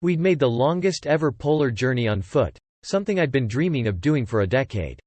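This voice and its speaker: A man's voice: medium pitch, big pitch swings, a little fast, darkish tone, no echo. A man teaching English, carefully reading definitions and example sentences aloud. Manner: clear, careful model reading.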